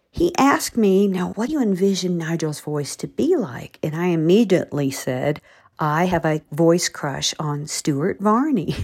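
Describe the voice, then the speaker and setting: A woman speaking as an author and podcast interviewer in a chatty, expressive way. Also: fairly low pitch.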